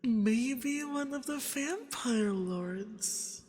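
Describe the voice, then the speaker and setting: Seductive femboy vampire acted by a man, possessive, very slow, breathy coaxing whispers, swooping intonation, ASMR roleplay, long reverb. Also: very high-pitched, lively intonation, darkish tone, full character voice. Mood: tender, possessive. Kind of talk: intimate ASMR roleplay acting. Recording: long reverberant hall echo.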